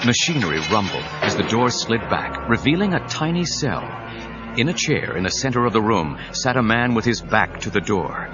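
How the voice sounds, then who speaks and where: An actor narrating a superhero audio drama as a suspenseful storyteller. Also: male voice, on the low side, sing-song, moderate pace. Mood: dramatic, suspenseful. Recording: dull 1990s cassette, music under voice.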